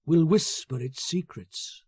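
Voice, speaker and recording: A man's voice: on the high side, slow pace, a little mellow, slightly rough, dry recording, clean studio sound. A man reading a story, playing a brooding, coldly possessive man with an intense, musing manner.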